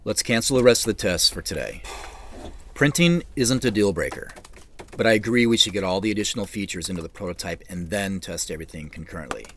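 Low-voiced, measured male boss. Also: a bit bright, a little hiss or hum. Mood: calm, decisive. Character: measured, wry male tech company boss narrating. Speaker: narrator and lead character. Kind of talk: first-person scripted audio drama narration.

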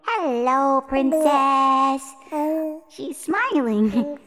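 A woman's voice: high voice, animated, very slow, full character voice. A woman playing a sweet young boy prince in a fairytale audio drama, simple and childlike. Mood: charmed. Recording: reverberant hall with music behind.

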